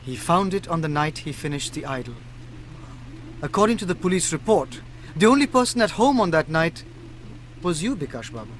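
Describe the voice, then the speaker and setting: An actor with an Indian accent playing a shrewd, self-assured detective in a radio drama, speaking in a measured, deliberate way. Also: male voice, a little high, big pitch swings, slight background noise. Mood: probing, accusing.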